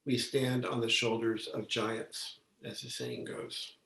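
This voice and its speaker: A man delivers a Buddhist talk at a very unhurried pace, pausing often as he chooses his words. His pitch is mid-range, and he sounds set back from the microphone in a reverberant room, as on a video call. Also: big pitch swings, fairly bright tone. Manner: halting, deliberate delivery with long pauses. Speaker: Zen Buddhist teacher giving a talk. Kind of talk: measured dharma talk. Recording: roomy, echoey, distant microphone on video call.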